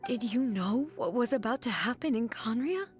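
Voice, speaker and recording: A woman's voice: fairly high pitch, animated, moderate pace, soft background music, close to an everyday voice. A woman playing a stoic, dignified goddess ruler in a video game, restrained, formal and solemn in delivery. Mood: guarded, hurt. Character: stoic, dignified female deity ruler, reserved, proud. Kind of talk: scripted video game voice acting.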